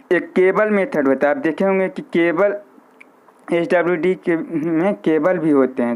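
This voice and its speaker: A man's voice: a little high, smooth. A man giving an informal instructional lecture, repeating key points and mixing in English technical terms. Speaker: physiotherapy teacher. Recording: muffled, narrow lo-fi sound.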